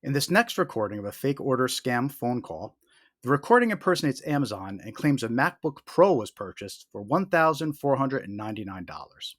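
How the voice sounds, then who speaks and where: A man reading a scripted explainer as host, with emphatic, deliberate delivery and short warning statements. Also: medium pitch, big pitch swings, no room sound, clean studio sound. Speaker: host of a scam awareness video.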